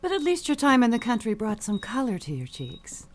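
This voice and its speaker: A woman playing an elderly, dying perfume matriarch in an audio suspense drama, genteel, measured and sly, with cutting endearments. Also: on the high side, wildly swooping pitch, a little hiss or hum. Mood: coolly needling.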